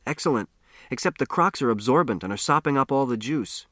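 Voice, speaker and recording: A man's voice: medium pitch, animated, brisk, a bit bright, no echo, studio quality. A man voicing an earnest, self-reliant teenage boy in an audiobook. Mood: pleased.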